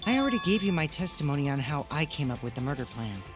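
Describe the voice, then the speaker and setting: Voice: medium pitch, sing-song, quick pace, music in the background, natural voice. Someone reading as a sly dismissive schemer boy. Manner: cool, dismissive, pushy. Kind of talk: reading game dialogue aloud.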